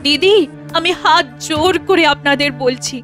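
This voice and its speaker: A meek young orphan bride from a cartoon pleads in a very high, tearful voice, voiced by a woman. Music runs clearly underneath her. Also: normal speed, lightly acted. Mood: pleading. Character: young orphan daughter-in-law, meek and sorrowful. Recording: cartoon dub over music.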